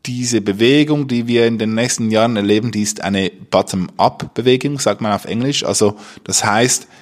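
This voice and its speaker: A man hosting a podcast, speaking casually and off the cuff, haltingly searching for words. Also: lowish voice. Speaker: podcast host and interviewer. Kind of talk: casual unscripted podcast talk.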